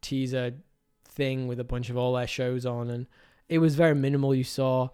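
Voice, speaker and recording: A man co-hosting a podcast, sounding a little nasal and blocked up. He chats easily and laughs often, at a middling pitch. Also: level tone, moderate pace, darkish tone, smooth. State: stuffy nose. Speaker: podcast co-host. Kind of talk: casual chat.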